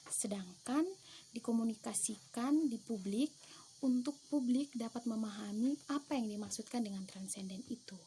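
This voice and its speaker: Measured young woman student, mellow voice. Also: fairly high pitch, slight background noise. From eastern Indonesia. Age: young adult. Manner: careful, measured, with hesitations and restarts. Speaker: theology student. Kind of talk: reflective class presentation.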